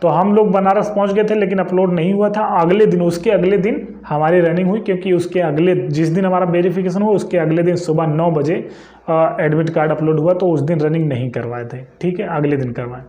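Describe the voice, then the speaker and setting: High-pitched young man in an echoey room. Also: steady tone, a little fast, mellow, muffled recording. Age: young adult. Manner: conversational, frequently checking understanding with short tags. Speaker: YouTube exam-information presenter. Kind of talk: informal explainer to viewers.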